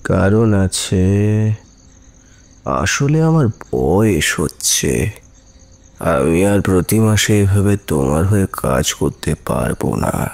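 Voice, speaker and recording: Low, gravelly, scheming older professor. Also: male voice, very animated, darkish tone, full character voice. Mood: cold, calculating. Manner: deliberate, coldly persuasive. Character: aging, scheming professor who procures girls for traffickers. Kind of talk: Bengali audio drama acting.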